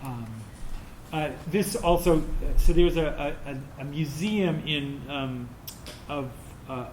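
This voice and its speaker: A middle-aged or older man answering audience questions informally as a theater director, haltingly searching for words. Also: a little high, a little mellow. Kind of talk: informal post-show Q&A. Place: roomy indoor performance space. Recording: echoey room with clear background noise.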